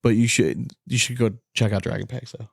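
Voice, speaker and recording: A man's voice: medium pitch, steady tone, a little fast, gravelly, breathy edge, dry recording, clean studio sound. A man co-hosting a podcast in casual, informal chat, loosely swearing here and there. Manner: informal, lightly profane. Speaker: podcast co-host.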